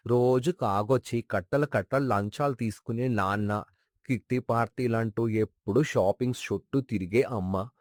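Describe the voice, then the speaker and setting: A man's voice: mid-range voice, darkish tone, no room sound, studio quality, natural voice. A man playing a sincere, sentimental colleague and neighbour of a late father, earnestly reminiscing in a moral story. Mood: regretful, weary. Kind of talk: dramatized moral story acting.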